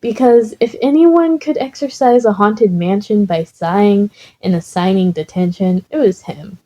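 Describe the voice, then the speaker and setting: A woman's voice: mid-range voice, a little slow, warm tone, muffled recording. A woman reading a comic story and chatting casually as the host. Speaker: fan fiction reader and host. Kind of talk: comic story narration, then casual chat.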